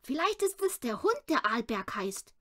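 A woman acts out an eager little boy from a children's storybook. The voice is high and lively, with an earnest, hopeful insistence. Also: moderate pace, full character voice. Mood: hopeful, pleading.

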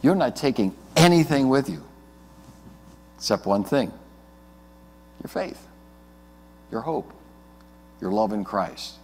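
A mature American man, a pastor, delivers an earnest sermon with emphatic phrasing and deliberate pauses inside a church. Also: mid-range voice, expressive, a little mellow, slight background noise. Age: middle-aged or older. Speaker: Lutheran pastor. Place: indoors at the front of a church, before the altar. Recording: reverberant church hall.

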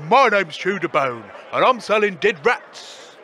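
A man's voice: high-pitched, sing-song, a little slow, pushed and bright, exaggerated voice. A man playing a shameless wasteland market trader with plain-spoken street hawker patter. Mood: nonchalant.

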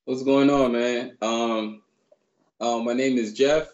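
A man in his thirties from Barbados, raised in New York, telling his story as a guest in a relaxed, rambling way on a video call. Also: medium pitch, slow pace, dull audio. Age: 30s. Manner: relaxed, rambling, many fillers. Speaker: guest, personal trainer. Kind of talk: casual storytelling interview. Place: small room indoors. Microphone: video call webcam.

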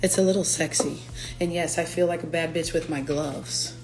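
Young woman, raspy from allergies, lowish voice, chatty and playful, phone-at-arm's-length livestream, faint music behind. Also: fairly even intonation, normal speed, a little mellow, rough recording. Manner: chatty, playful, breaks into lyrics. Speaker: singer-songwriter. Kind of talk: casual livestream chat. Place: her living room at home, indoors. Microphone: phone camera at arm's length.

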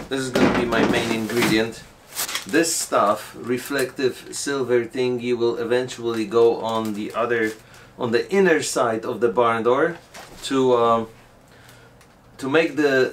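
A man's voice: medium pitch, slight background noise. A man in his forties chatting casually to camera for a daily vlog, explaining his project as he goes, often restarting sentences. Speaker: vlogger. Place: indoors, garage workshop and stores.

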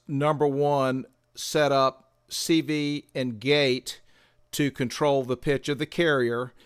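An older man giving a measured, methodical instructional demonstration as a tutorial presenter. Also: mid-range voice, clean tone, dry recording, studio quality.

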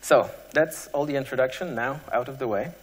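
Male conference presenter, medium pitch, halting technical delivery with frequent pauses, long hall reverb. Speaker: conference speaker.

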